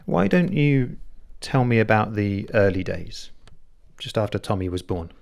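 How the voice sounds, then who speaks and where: A patient therapist in a naturalistic audio play. His voice sits fairly low, and he probes gently with measured questions. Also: sing-song, vocal fry, exaggerated voice. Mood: calm, probing. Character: patient, probing male therapist. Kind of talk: naturalistic audio drama acting.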